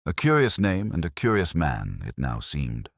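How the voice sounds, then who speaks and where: A man narrating a scripted mystery in a measured, wry literary manner. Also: extremely low pitch, wildly swooping pitch, dry recording, clean studio sound.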